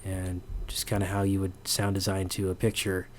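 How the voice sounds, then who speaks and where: A man giving an informal sound design tutorial, halting as he thinks aloud. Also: low-pitched, monotone, a little hiss or hum.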